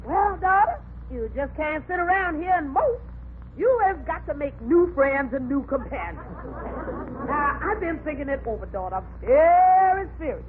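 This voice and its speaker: A woman playing a bossy, sharp-tongued older mother-in-law in old radio comedy, with emphatic delivery. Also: sits high, big pitch swings, moderate pace, a faint crowd. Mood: commanding. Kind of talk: 1940s radio comedy acting. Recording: noisy, tinny old radio recording.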